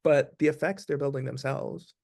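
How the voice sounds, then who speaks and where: A man speaking as an actor being interviewed, explaining things casually in a thoughtful, deliberate way. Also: mid-range voice, fairly even intonation, creaky, a little mellow.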